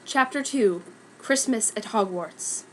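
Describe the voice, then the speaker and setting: A woman's voice: a little high, slow, slight background noise, low-quality recording. A woman giving a dramatic, mock-serious reading of a scripted story as narrator. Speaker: reader of a fan story.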